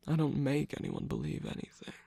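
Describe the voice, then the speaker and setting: A performer voicing a smug, seductive villainous cookie radio host in an ASMR romantic roleplay, slow, coaxing and teasing, with a breathy, gravelly voice that often drops to a whisper. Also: mid-range voice, huge pitch swings, dark tone, dry recording, heavily acted. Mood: sly, coaxing. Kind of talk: ASMR romantic roleplay, scripted character acting. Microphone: close microphone, dry.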